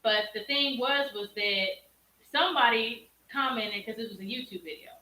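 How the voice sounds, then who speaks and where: A woman with a big, bright voice states her opinions bluntly and keeps tagging on "you know what I'm saying." She is off camera, well away from the mic. Her voice comes through roomy and distant, over a faint hiss. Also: a little high, normal speed. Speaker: guest in a stream. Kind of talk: casual opinionated talk.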